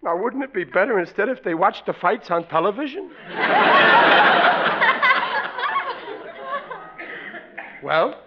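A man's voice: sits high, lively intonation, brisk. An American actor playing a blustering, wheedling family father in a classic radio comedy, melodramatic and exasperated. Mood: indignant. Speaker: sitcom lead actor. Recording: dull, noisy old radio broadcast.

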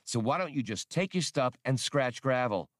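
A man's voice: mid-range voice, expressive, normal speed, fairly bright tone, no echo, voice pushed up, lightly acted. Audiobook role, male reader: brusque male smuggler, short-fused and slangy, clipped snappish phrasing, close dry recording. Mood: curt, impatient. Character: brusque, hot-tempered male smuggler pilot. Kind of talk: audiobook character voice.

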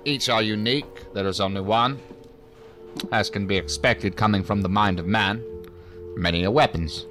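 A man's voice: on the low side, normal speed, fairly bright tone, faint music behind, close to an everyday voice. An actor playing a jaded, condescending male professor in a science fiction audio drama, wordy and dryly sardonic. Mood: sardonic, lecturing.

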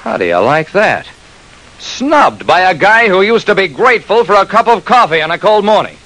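A man's voice: sits high, big pitch swings, normal speed, pushed and bright, heavily acted, rough recording. A man playing a terse, wry private detective who narrates his own case in an old-time radio drama. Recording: vintage radio recording.

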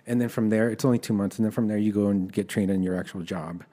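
A man's voice: lowish voice, steady tone, fast talker, dark tone. A middle-aged man from Los Angeles with an American accent, telling stories about himself in a candid, conversational way as an interview guest. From Los Angeles, United States. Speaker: guest, army veteran.

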